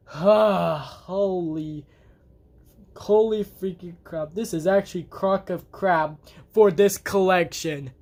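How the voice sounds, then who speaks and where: A game collector vlogging casually about their collection, talking in a halting, rambling way with many restarts and blunt grumbles. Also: medium pitch. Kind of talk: casual collection show-and-tell vlog. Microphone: camera on a tripod.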